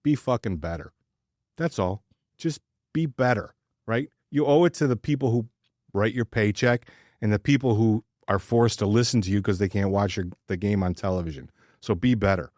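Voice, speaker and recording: An American man co-hosts a sports podcast in a lowish voice. He talks casually but bluntly, ranting with plenty of profanity and strong opinions. The sound is dry, with very little room to it. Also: normal speed, darkish tone, no echo. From Detroit, United States.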